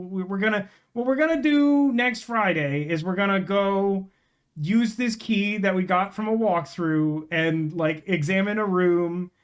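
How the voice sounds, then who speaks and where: A man's voice: high voice, clean tone. A man chatting casually on a live game stream, rambling and emphatic, with slangy phrasing.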